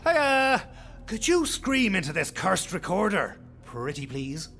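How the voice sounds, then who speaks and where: Roguish gravelly gentleman adventurer. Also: high-pitched, big pitch swings, a little slow, soft background music, exaggerated voice. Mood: coaxing. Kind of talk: scripted video game character dialogue.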